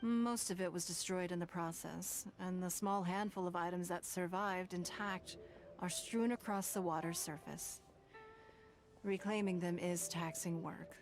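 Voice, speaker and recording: A wealthy, shrewd businesswoman from a video game. Her voice is on the low side, composed and formal, with a coy edge. Faint music plays underneath. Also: fairly even intonation, unhurried.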